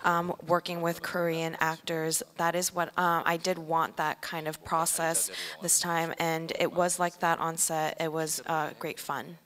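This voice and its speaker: A woman interpreting on a cinema stage, with a measured, steady delivery and soft fillers, speaking into a handheld mic. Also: sits low. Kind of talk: consecutive interpretation. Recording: echoey cinema hall.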